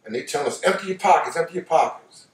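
High-pitched older American man telling stories. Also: animated, quick pace. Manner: colloquial, repeats phrases for emphasis. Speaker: storyteller. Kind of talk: animated personal storytelling, casual. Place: living room couch, indoors. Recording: roomy, distant microphone.

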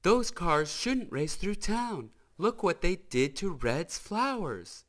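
A man voicing a kind, bright female sports car from a children's storybook in a sweet, emphatic manner. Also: sits high, sing-song, slow, voice pushed up, heavily acted. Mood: concerned.

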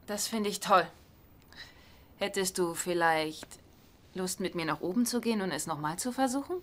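An actress playing a loving, supportive and wry wife in a dubbed sitcom. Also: female voice, fairly low pitch, moderate pace, fairly bright tone, close to an everyday voice. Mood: tender.

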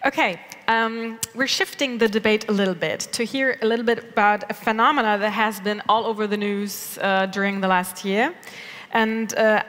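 A woman's voice: on the high side, fairly bright tone. Thirties woman moderator, conversational, on a big conference stage with hall echo. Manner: conversational, asks open questions. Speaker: panel moderator. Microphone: headset mic. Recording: reverberant hall.

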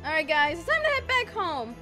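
Bossy, silly daycare kid voiced by a woman, squeaky and extremely high, swooping delivery, game roleplay over music. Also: sing-song, a little fast. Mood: excited, relieved. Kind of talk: improvised Minecraft roleplay.

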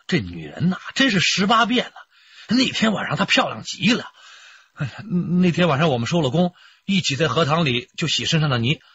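Boastful, folksy male suspect, higher-set husky voice, radio drama, dulled sound. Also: fairly bright tone, no room sound, dull audio, exaggerated voice. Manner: rambling, pleading, folksy. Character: rough, boastful, lovestruck fisherman suspect, male adult. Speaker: actor in police radio drama.

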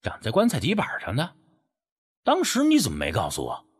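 A man's voice: mid-range voice, huge pitch swings, brisk, husky. A man playing a skeptical, sardonic first-person narrator of a folk ghost story audiobook, acting out dialogue. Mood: skeptical, teasing.

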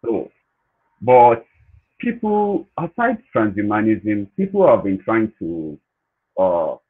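Low Nigerian man on tinny call audio. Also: husky. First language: Yoruba. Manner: slow, hesitant, plain-spoken. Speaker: panelist. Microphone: video call. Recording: phone-like video call audio.

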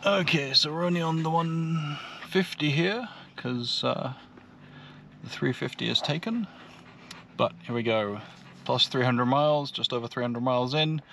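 A man's voice: fairly high pitch, unhurried, a bit bright, a little hiss or hum. A man giving casual running commentary on an electric car road trip, thinking aloud with fillers, recorded by camera in and around his car at a parking lot.

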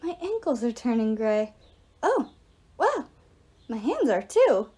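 Woman acting a wounded young man, weary mutter, whiny, dazed, lilting high pitch, audiobook hero. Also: very animated, takes it slowly, dark tone, husky, heavily acted.

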